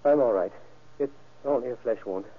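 An actor playing a shaken, wounded husband in an old-time radio crime drama, speaking haltingly and earnestly. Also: male voice, mid-range voice, natural voice. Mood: grim, weary. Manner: halting, earnest witness account. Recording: muffled, band-limited vintage radio recording.

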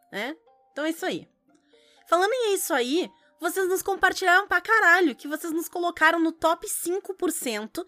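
Woman, podcast co-host, high pitch, dry close sound, playful mock outrage. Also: bright, forceful tone, dry recording. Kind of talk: casual podcast chat.

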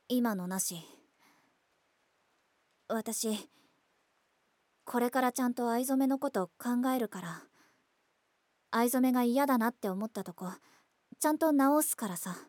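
女性声優が、ぶっきらぼうで不器用に真剣な女子高生を演じている。. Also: female voice, fairly high pitch, darkish tone, no echo. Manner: curt, awkwardly earnest. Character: blunt, awkward high school girl. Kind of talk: voice drama acting.

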